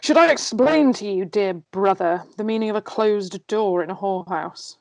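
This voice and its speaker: A witty, insolent dwarf nobleman, read by a woman in an amateur table read. The delivery is dry and sardonic, at an ordinary female pitch. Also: moderate pace, creaky, dry recording, lightly acted. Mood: dry, wry.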